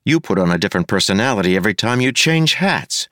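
A man doing a level-headed young policewoman with a dry, teasing manner. Her lines sit a little higher than the reader's own. The sound is close and dry. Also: very animated, fast talker, pushed and bright, dry recording.

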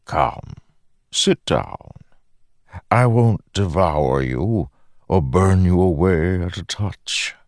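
Man voicing a smooth, swooping, malevolent god. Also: low-pitched, expressive, a little mellow, no echo, studio quality, voice pushed up, full character voice. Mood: paternal, inviting. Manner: patronizing, unhurried, theatrical. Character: ancient malevolent god, smiling, paternal and smooth-talking. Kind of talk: audiobook fantasy dialogue.

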